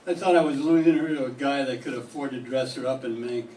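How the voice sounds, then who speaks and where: Through a distant, echoey classroom mic with faint hiss, an older man doing a gruff, defensive young fisherman who drinks hard. His voice sits at an average pitch. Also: fairly even intonation, fairly quick, mellow, natural voice. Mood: desperate. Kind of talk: 1940s radio acting.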